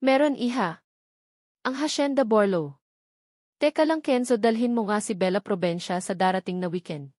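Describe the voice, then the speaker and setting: A woman's voice: mid-range voice, normal speed, long pauses, a little mellow, no room sound, clean studio sound, natural voice. A woman with a Filipino accent voicing a kindly old grandfather who owns a farm, in an audiobook. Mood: friendly, homesick.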